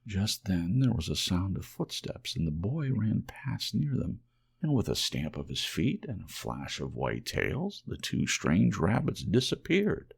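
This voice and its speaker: A man narrating a children's storybook in a gentle ASMR audiobook style. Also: sits low, wildly swooping pitch, measured pace, gravelly, slightly rough.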